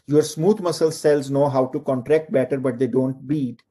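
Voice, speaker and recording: A man's voice: medium pitch, fairly even intonation, normal speed, dark tone. A middle-aged man from Pakistan giving an online lecture from home over a video call, chatty and full of "like, you know" fillers. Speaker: university lecturer.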